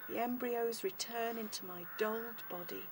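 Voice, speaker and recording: A woman playing a sorrowful, dignified and brave female rabbit in a radio drama, speaking gravely and with measure. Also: fairly high pitch, steady tone, moderate pace, slight background noise, lightly acted. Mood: mournful, poetic.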